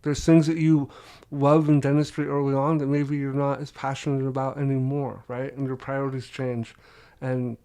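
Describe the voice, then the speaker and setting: A man's voice: medium pitch, flat delivery, normal speed, warm tone, smooth. A man hosting a podcast interview, affirming his guest and asking probing questions. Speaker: podcast host.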